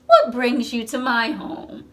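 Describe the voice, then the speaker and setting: A woman voicing a kind, generous home cook in a picture book, with a slow, savouring delivery. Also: fairly high pitch, wildly swooping pitch, exaggerated voice. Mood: welcoming.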